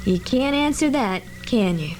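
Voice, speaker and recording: A woman as an inquisitive, lively-voiced reporter. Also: on the high side, measured pace, over music. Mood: wondering. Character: inquisitive, pondering female newspaper reporter. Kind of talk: old-fashioned radio drama.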